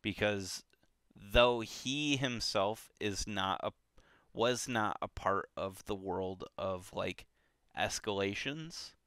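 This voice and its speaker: Slow, bright-voiced man chatting casually. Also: on the low side, smooth, no echo, studio quality. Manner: relaxed, hesitant, many fillers and restarts. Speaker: book club podcast co-host. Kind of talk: casual book discussion.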